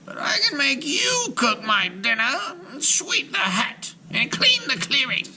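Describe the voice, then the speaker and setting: A man voicing a bad-tempered, menacing old witch woman from a storybook, with a shrill, strained, snarling, drawn-out delivery. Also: wildly swooping pitch, bright, forceful tone, a little hiss or hum, heavily acted. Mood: bossy. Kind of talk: storybook read-aloud character voice.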